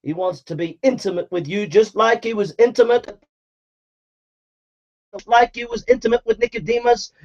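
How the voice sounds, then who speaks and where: A high-pitched man preaching with fierce urgency, repeating his lines again and again as he calls listeners to repent. His voice comes through a dull, muffled recording. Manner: exhorting, repetitive, mocking mimicry of flattery. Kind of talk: fiery sermon.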